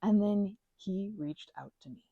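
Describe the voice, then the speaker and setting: A woman hosting an ASMR channel, rambling in a chatty, giggly way with lots of fillers and a breathy voice that slips into whispers. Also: mid-range voice, measured pace, no echo, lo-fi sound. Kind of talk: soft-spoken rambling chat.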